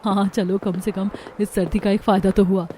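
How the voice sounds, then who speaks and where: A woman's voice: medium pitch, level tone, normal speed, warm tone, slight background noise, voice pushed down, close to an everyday voice. A woman voicing a sneaky young daughter-in-law in a family cartoon, chatty and conspiratorial. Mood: secretive. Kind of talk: Hindi family cartoon dialogue.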